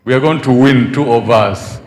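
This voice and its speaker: A man leads a prophecy service into a handheld mic, his voice leaping up in pitch whenever he presses a point. His words trail off in a long reverb from the big space around him. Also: moderate pace, darkish tone, a little hiss or hum. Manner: prophesying with sudden emphatic rises. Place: large outdoor church tent.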